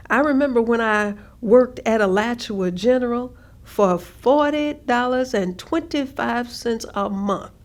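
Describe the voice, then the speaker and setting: An actress playing an older woman, recalling hard working years in a measured, reflective staged reading. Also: a little high. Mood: weary, wistful. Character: older woman, former hospital worker, plainly recalling segregation-era hardship. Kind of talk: staged reading of oral history.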